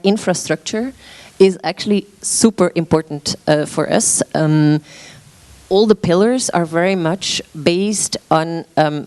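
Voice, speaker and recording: A woman's voice: fairly low pitch, a little mellow. A woman presenting her arts organization at a meeting, speaking hesitantly with frequent filler sounds and restarts. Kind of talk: conference presentation.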